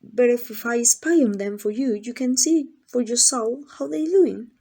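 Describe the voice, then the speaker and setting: A woman's voice: on the high side, moderate pace, mellow. A woman playing a lovesick, possessive female kidnapper in an intimate ASMR roleplay, doting and rambling in a flustered, coaxing way. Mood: insistent, adoring. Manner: coaxing, rambling, hesitant asides.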